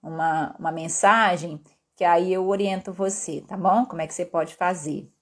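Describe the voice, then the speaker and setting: A woman doctor with a somewhat low voice and a Pará accent, mixed with Northeastern tones. She explains health questions casually on a live stream. She talks in a friendly, patient way and ends her points with "tá?" or "né?". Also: steady tone, normal speed. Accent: Brazilian Portuguese from Pará, mixed with Northeastern. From Pará, Brazil. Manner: friendly and explanatory, peppered with "tá?" and "né?" tags. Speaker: endocrinologist doctor hosting a live Q&A.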